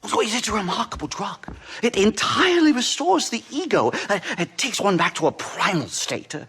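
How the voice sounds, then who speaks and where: An actor playing a nervous, eccentric academic in a video game, pompous and excitable, stammering and giggling as he talks. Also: extremely high pitch, slight background noise, exaggerated voice. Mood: giddy, condescending. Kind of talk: video game dialogue acting.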